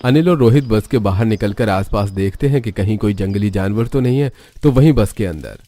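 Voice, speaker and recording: Mellow male story narrator. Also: fairly low pitch, fairly quick, no room sound.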